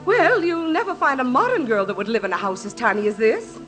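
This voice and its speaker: A woman's voice: high-pitched, fairly quick, over music, lightly acted. An actress playing a fussing, doubtful mother of a grown son in a 1950s radio musical. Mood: skeptical, chiding.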